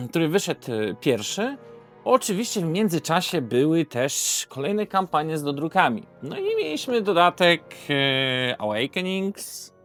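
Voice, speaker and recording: A man's voice: a little high, sing-song, a little slow, a bit bright, faint music behind. A man hosting an unscripted board game video, hesitant and often correcting himself. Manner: hesitant, self-correcting, throat-clearing. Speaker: board game reviewer and host.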